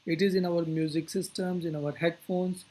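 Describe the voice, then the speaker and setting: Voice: medium pitch, steady tone, a little mellow. Physics teacher explaining step by step. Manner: didactic, deliberate phrasing with rhetorical questions. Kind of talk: explanatory lecture.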